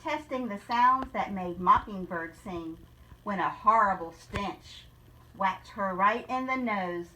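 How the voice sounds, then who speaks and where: A woman reading a rhyming children's picture book aloud in a deliberate, expressive storytelling manner, like a teacher. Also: medium pitch, distant mic, slight background noise, poor audio.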